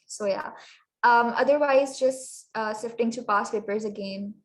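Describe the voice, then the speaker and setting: A young woman from Pakistan, raised speaking Sindhi, with a Pakistani English accent, giving a casual workshop presentation full of fillers and quick asides in Urdu. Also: fairly high pitch. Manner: chatty, many fillers, slips into Urdu phrases.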